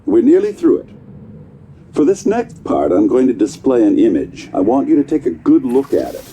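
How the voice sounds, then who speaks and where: An actor playing a chatty, distracted scientist in a video game, husky and encouraging in a patronising way as he supervises tests. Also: mid-range voice, huge pitch swings, normal speed, mellow, slight background noise. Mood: breezy, encouraging. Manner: patronising, encouraging, hesitant asides. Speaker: test supervisor. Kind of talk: game voice acting.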